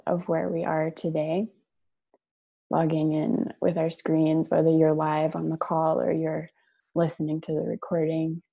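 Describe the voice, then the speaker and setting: Female meditation guide, gravelly fry in a dark, mellow voice, unhurried delivery, dull online-call audio. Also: low voice. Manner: measured, gentle, with long pauses between short phrases. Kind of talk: guided meditation, slow soothing instruction and reading aloud.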